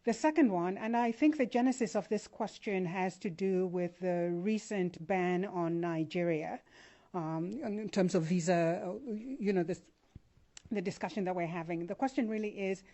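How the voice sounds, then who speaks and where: A woman moderating a public forum, brisk and polite, reading out questions. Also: lowish voice, dark tone. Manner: brisk, polite, with frequent uh fillers.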